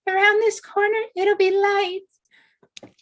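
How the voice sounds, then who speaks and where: A woman acts out a young female psychiatric patient at a script read. Her voice is extremely high and childlike. She comes across as excitable and full of open admiration. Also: takes it slowly. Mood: anxious. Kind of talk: live screenplay table read.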